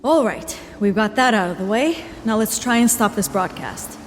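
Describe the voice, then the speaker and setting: An actress playing a confident, brisk female agent with a sardonic edge in an audio drama. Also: mid-range voice, expressive, lightly acted. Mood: wry, urgent. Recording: reverberant hall, faint music.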